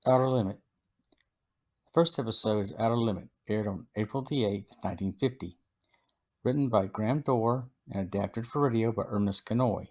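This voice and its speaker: A man's voice: on the low side, normal speed, slight background noise. A man hosting a podcast and reading a scripted review of old radio episodes. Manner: steady, even read-aloud delivery. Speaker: podcast host.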